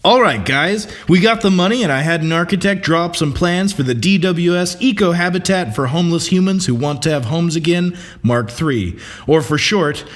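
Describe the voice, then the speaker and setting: A man plays a scheming, overconfident crusader in an audio comedy sketch. His fairly high voice moves about with lots of lively pitch, brash and self-important. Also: moderate pace, close to an everyday voice.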